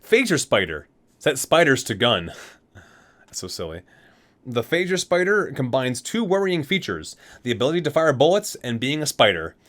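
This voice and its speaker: A man's voice: medium pitch, big pitch swings, moderate pace, fairly bright tone. A man hosting a gaming ASMR video, reading entries aloud and dropping into casual, amused asides.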